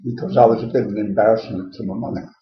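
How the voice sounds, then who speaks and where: An elderly man from England, in his eighties, telling his life story in a plainspoken, halting way with many "uh" restarts. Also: lowish voice, steady tone, a crowd in the background. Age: 80s. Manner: plainspoken, hesitant with frequent "uh" restarts. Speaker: interviewee. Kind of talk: recorded oral history interview. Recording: echoey room, dull lo-fi tape.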